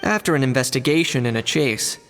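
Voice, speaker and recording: A man's voice: medium pitch, expressive, a little fast, fairly bright tone, in a large hall, faint music behind. An actor playing an earnest, observant young man in a video game, talking in a thoughtful, plain-spoken way.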